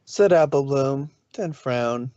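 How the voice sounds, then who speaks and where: A man's voice: mid-range voice, slow pace, warm tone, no room sound. A man narrates a scripted story in an ordinary mid-range voice, occasionally tripping over a word and fixing it. The recording is close and dry but slightly dull. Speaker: narrator of a fan fiction reading.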